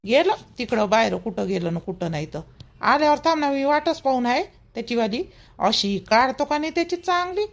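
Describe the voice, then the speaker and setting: A man's voice: very high voice, lively intonation, normal speed, vocal fry, full character voice. A man voices a stern, fretting older village mother in a cartoon, complaining through rhetorical questions in a Vidarbha Marathi accent. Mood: scolding.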